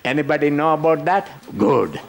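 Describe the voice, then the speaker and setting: A man's voice: a little high, animated, moderate pace, crowd noise. An older man leading a conference workshop, talking to the audience and teasing them with jokes. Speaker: workshop presenter. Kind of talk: interactive conference workshop talk.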